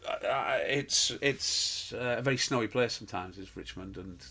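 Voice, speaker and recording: A man's voice: medium pitch, normal speed, gravelly, pushed and bright, slightly rough. A middle-aged man, a pantomime performer telling stories in an interview, rambling with fillers and restarts. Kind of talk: casual interview chat.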